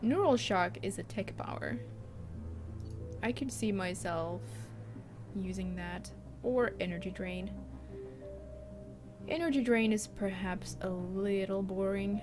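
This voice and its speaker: A woman with a Finland-Swedish accent talks through her choices as she streams a game, musing aloud in a chatty, unhurried way. Her voice sits at an ordinary middle pitch. Game music plays clearly behind her. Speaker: let's play streamer. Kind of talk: casual gameplay commentary.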